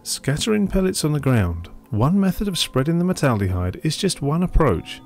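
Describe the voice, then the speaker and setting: A man giving scripted narration for a public information film in a measured, informative way. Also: a little high, very animated, darkish tone, faint music behind. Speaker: documentary narrator.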